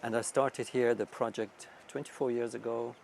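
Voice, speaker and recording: A middle-aged or older man with a Swiss accent, talking reflectively and haltingly in an interview style about his own work. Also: medium pitch, fairly even intonation, gravelly, a little mellow, a little hiss or hum. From Switzerland. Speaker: youth retreat founder. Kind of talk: interview-style personal talk.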